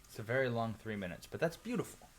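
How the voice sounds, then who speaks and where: A man chatting on a livestream, loud-voiced and animated, reacting to chat and rambling through opinionated stories. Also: medium pitch, quick pace. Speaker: streamer. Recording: music playing clearly behind voice. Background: music.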